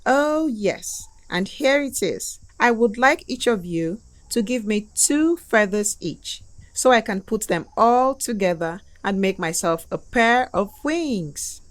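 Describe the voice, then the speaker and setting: A woman voicing a hungry, scheming male tortoise in a children's cartoon, in a wheedling, drawn-out way. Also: medium pitch, expressive. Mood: persuasive.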